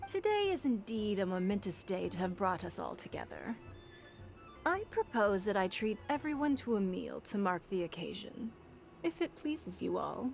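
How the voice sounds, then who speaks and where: A woman voicing a shrewd, wealthy businesswoman in a video game, formal, measured and elegant. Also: medium pitch, very animated, creaky, soft background music. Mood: gracious. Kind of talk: video game dialogue acting.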